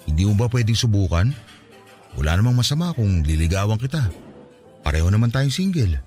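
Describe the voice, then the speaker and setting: A young man confessing his love in a radio drama. His voice sits low but moves widely as he pleads. Soft music plays faintly beneath him. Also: big pitch swings, a little fast. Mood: hurt. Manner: earnest, pleading.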